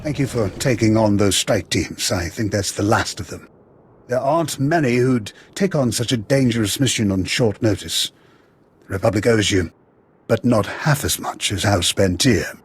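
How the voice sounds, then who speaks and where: An actor playing a pragmatic, principled military officer in a video game, measured and earnest. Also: male voice, lowish voice, breathy edge. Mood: earnest, businesslike.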